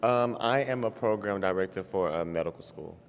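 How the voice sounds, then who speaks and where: A man's voice: sits low, a little fast, a little hiss or hum. A man in his late twenties, a dating show contestant, friendly and thoughtful with hesitant ums. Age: 20s. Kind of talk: casual chat. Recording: echoey hall.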